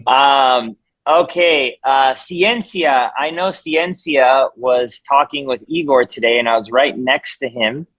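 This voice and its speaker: A man whose first language is Spanish hosts a casual video call, welcoming members with a chatty, rambling, upbeat manner. His voice sits at a middle pitch. The sound is dry and close, with almost no room echo. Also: slow, fairly bright tone. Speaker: community call host.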